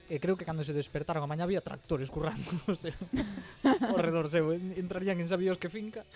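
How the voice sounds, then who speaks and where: A man's voice: on the high side, steady tone, brisk, darkish tone. A young man with a Galician accent, telling casual anecdotes as a podcast co-host. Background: music.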